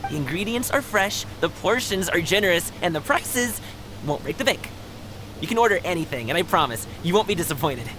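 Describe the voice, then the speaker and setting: A woman's voice: lowish voice, lively intonation, brisk, background noise, natural voice. An actress playing a friendly, confident young female guard who talks in an upbeat, reassuring way in a video game. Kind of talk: video game dialogue acting. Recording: game music behind the voice.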